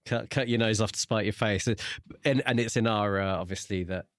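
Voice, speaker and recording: Male podcast co-host, low voice, measured and thoughtful, conversational delivery, dry close sound. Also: a bit bright, smooth, dry recording. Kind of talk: casual book discussion.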